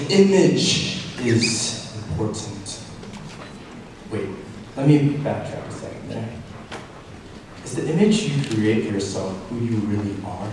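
A young man performing spoken word poetry on a hall stage, dramatic, dropping to a hush and then rising forcefully. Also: medium pitch. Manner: dramatic, swings from hushed to forceful. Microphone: handheld mic. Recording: echoey hall from far off, noisy.

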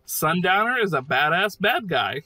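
A man's voice: high voice, big pitch swings, moderate pace, music in the background. A man narrating enthusiastic scripted commentary on a video game, with an emphatic, informal, fannish delivery. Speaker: host narrator of a video game praise series.

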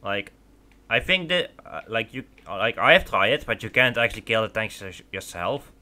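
Young man, Dutch native speaker, casual gameplay commentary, rambling with fillers, low-ish voice, bright and smooth. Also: very animated, normal speed. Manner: rambling, filler-heavy with many restarts. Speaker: gaming channel host. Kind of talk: casual let's play commentary.

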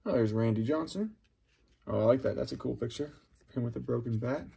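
A middle-aged man with a husky, gravelly voice, chatting casually and musing aloud as he hosts a card-opening video. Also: medium pitch, fairly quick, mellow. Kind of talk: casual unscripted commentary while handling cards. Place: indoors at a wooden table.

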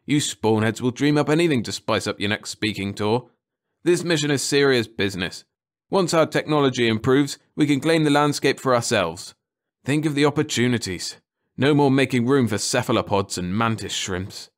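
A man voicing a gruff, grumbling aquatic sergeant with a dismissive manner in an audiobook. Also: mid-range voice, animated, normal speed, natural voice. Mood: scornful. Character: grumpy, scornful aquatic sergeant with a trunk. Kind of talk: audiobook character voice.

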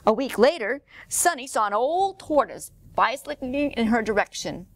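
A middle-aged woman storyteller reading a picture book aloud to children in an expressive way. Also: a little high, moderate pace, dry recording. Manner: expressive, clearly paced for children. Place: indoor room, standing before a painted wall.

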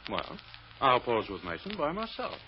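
An actor playing a vain, vindictive prosecutor in old radio drama style, pompous and self-satisfied. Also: male voice, mid-range voice, wildly swooping pitch, normal speed. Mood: self-important. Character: vain, vindictive male prosecutor gloating over a capture. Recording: muffled, noisy old radio recording.

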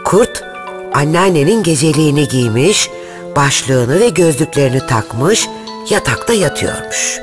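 A woman storyteller reading a scripted children's fairy tale in an expressive, measured way. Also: deep voice.

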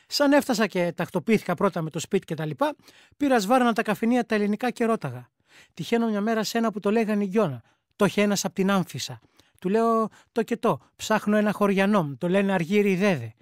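A woman's voice: medium pitch, no echo, clean studio sound, close to an everyday voice. A woman playing an emigrant ex-soldier man, telling an anecdote colloquially in speech for a radio play. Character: plain-spoken emigrant army veteran man recounting his past.

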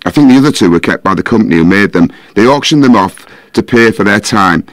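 A man's voice: lowish voice, expressive, quick pace, no echo, natural voice. A man playing a knowledgeable, matter-of-fact football fanzine guest on a podcast in an audio drama. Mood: matter-of-fact, informative.

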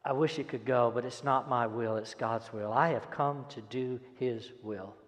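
An older man preaches a sermon and reads scripture with dramatic, emphatic delivery and deliberate pauses. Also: mid-range voice, steady tone. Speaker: pastor. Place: large church auditorium stage, indoors. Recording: echoey hall, long reverb.

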